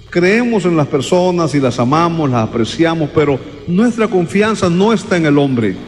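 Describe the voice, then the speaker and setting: A man preaching a sermon to a congregation, emphatic and repeating words for stress. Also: on the high side. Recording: long reverb in a hall, faint music.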